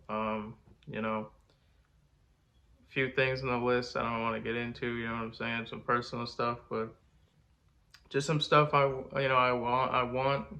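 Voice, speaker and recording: A man's voice: mid-range voice, sharp tone. Laid-back congested man talking slang. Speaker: rapper and video host. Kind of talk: casual talk to camera.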